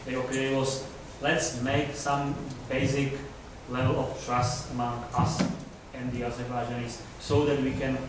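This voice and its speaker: A man giving a hesitant, halting academic answer at a conference, searching for words, recorded from far off in a large lecture hall. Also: mid-range voice, little pitch movement. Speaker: conference speaker answering questions. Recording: echoey hall from far off, clear noise.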